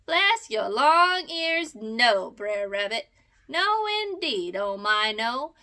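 A woman's voice: a little high, sing-song, slow pace, bright, forceful tone, full character voice. A woman reading aloud voices a jolly, teasing old male possum with a drawling folksy dialect.

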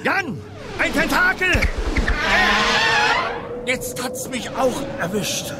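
An actor playing a commanding, excitable army general, barking urgently in a dramatic radio play. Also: male voice, extremely high pitch, takes it slowly, over loud music, heavily acted. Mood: panicked. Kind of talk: German children's sci-fi radio play acting. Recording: loud aircraft noise behind the voice.

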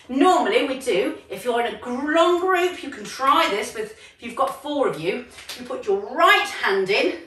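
A middle-aged woman with a high, very lively voice leads children through actions and stories. She is encouraging and exclamatory, and talks slowly. She is recorded from a distance in an echoey room. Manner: encouraging, exclamatory, addresses young children directly. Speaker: children's drama teacher and storyteller. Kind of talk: animated children's drama class, story time with actions. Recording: roomy echo, distant microphone, music.

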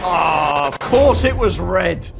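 A young man giving casual, excitable reaction commentary as a video host. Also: sits high, takes it slowly, creaky, slight background noise. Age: young adult. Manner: excitable, exclamatory. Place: small room indoors.

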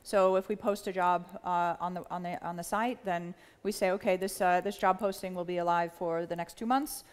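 A middle-aged woman from the United States, speaking on a panel in a measured way with many fillers and restarts. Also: fairly low pitch, fairly even intonation, clean tone. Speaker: panelist, company executive. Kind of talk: panel discussion. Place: large hall with a live audience. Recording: long hall reverb.

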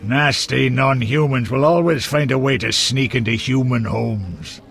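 An actor playing a blunt, crude, hearty dwarf in a fantasy game, talking in an earthy way. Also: male voice, medium pitch, a little slow, gravelly, fairly bright tone, soft background music.

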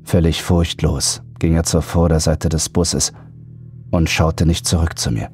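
Male horror audiobook narrator, very low voice, dramatic first-person storytelling over faint music. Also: steady tone, a little slow, a little mellow.

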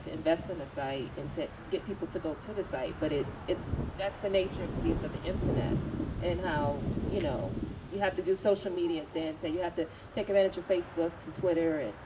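Woman panelist, lower voice, halting and thoughtful; captured from afar outdoors with steady background noise. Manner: thoughtful, many fillers and restarts. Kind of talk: panel discussion answer. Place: outdoor evening event. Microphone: handheld microphone. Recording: distant camera audio, noisy outdoors.

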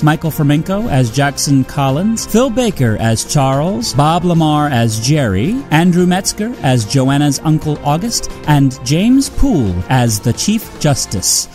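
Guy announcer reading credits, pretty animated, bit of music under him. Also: a little high. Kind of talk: scripted credits read.

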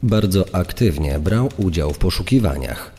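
A man acting as a measured investigator in a true-crime audio drama. His voice is very low and dark, with long reverb. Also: animated, slightly rough, soft background music.

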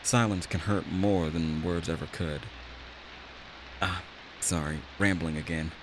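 Deep-voiced man in a chatty barista roleplay. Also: measured pace. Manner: chatty, gently reassuring. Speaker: voice actor in an audio roleplay. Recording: steady rain and hiss behind voice. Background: rain.

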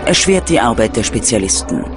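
A man narrating a scripted true-crime documentary in a dramatic, measured storytelling manner. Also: medium pitch, very animated. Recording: loud music bed under narration. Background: loud music.

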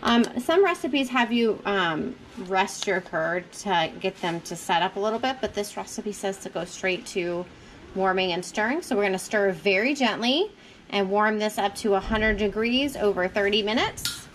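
A woman with a mid-pitched voice talks through a homemade recipe step by step. Her delivery is informal and casual, like a homesteader showing viewers her routine. She is recorded in a home kitchen on a nearby camera, with clear background noise and clicks. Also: animated, moderate pace, poor audio. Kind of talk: casual how-to narration while cooking.

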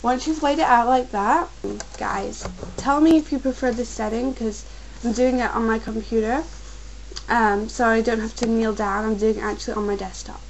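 Young woman tutor, getting a cold, calm and repetitive, recorded on a computer mic at her desk. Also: a little high, slight background noise, poor audio. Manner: patient, repetitive instructions, slow and careful at times. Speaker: crafts tutorial maker. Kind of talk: step-by-step craft instruction.

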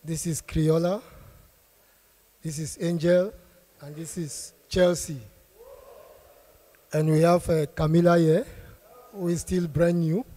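A man from Cameroon politely introducing his family to a church, speaking haltingly into a handheld microphone. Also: a little high, level tone, mellow. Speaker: visiting church member. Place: church hall.

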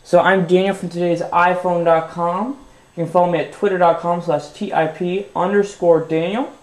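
A man's voice: high-pitched, little pitch movement, moderate pace. A young man with a slightly stuffy nose, casually demonstrating a phone tip as a tech video host, upbeat and full of fillers. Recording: echoey room.

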